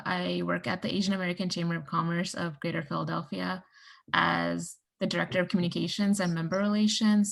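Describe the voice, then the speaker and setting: Gravelly-voiced woman panel guest. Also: fairly low pitch. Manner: measured, explanatory, with fillers. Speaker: panel guest, communications director. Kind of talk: casual panel talk.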